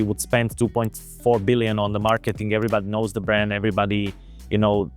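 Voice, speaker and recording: Slovak-accented man, lower voice, halting. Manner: halting, many fillers and restarts. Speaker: investment video host. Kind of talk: casual video commentary.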